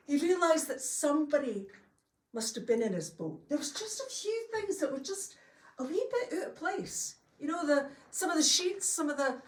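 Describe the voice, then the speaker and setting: High-voiced Scottish woman storyteller, echoey hall. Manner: chatty and homely, with folksy asides. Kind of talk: live storytelling to an audience. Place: longhouse hall with a live audience. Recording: echoey room, distant mic.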